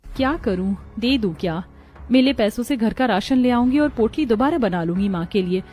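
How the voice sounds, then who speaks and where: A woman's voice: fairly high pitch, animated, normal speed, darkish tone, faint music behind, lightly acted. A woman voicing a modest, hardworking young village seamstress in a cartoon, polite and earnest. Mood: polite, hesitant.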